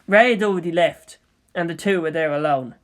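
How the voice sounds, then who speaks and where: A woman reading a story aloud as an audiobook narrator, in an expressive scripted style. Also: fairly low pitch, fairly quick. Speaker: audiobook narrator of fan fiction.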